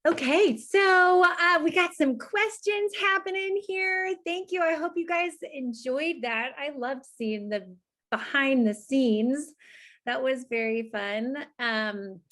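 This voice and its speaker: A woman's voice: high voice, takes it slowly, fairly bright tone. A woman speaking informally and encouragingly as a teacher, moderating children's questions in a casual, friendly way. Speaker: teacher hosting a student question session.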